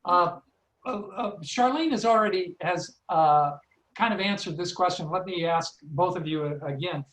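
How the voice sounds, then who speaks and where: A man hosts an online panel discussion in a roomy, echoing space. He speaks haltingly, repeating words as he moves between questions. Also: high-pitched, fairly bright tone. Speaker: seminar moderator. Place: indoors, online video seminar.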